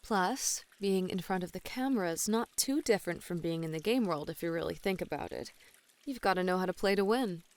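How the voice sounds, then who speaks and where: A woman's voice: lowish voice, animated, fairly quick. A woman playing a flirty, playful model and gamer in an ASMR roleplay, with a sweet-talking, teasing way of speaking. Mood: relaxed, chatty.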